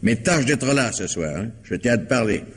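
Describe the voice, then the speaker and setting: A man's voice: mid-range voice, expressive, moderate pace, breathy edge. An actor playing a stern, sardonic middle-aged father in a French radio mystery, dry and reproving.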